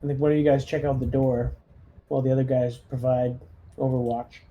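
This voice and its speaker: A man's voice: mid-range voice, little pitch movement, a little fast, dark tone, highs cut off. A man talking casually as he directs a squad in a tabletop roleplaying game. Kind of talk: casual game-session chat.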